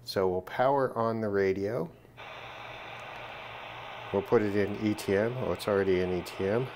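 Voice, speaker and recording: A man's voice: on the low side, moderate pace, noisy background. A man presenting an unscripted hands-on demonstration in a casual, matter-of-fact way, thinking aloud as he goes. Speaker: presenter of a radio gear test video. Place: indoors at a work desk.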